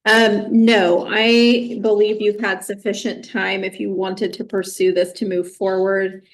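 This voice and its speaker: A woman sits as judge and delivers her ruling in a measured, firm and formal way. Her voice is mid-pitched and even, the plain tone of a courtroom official. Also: poor audio.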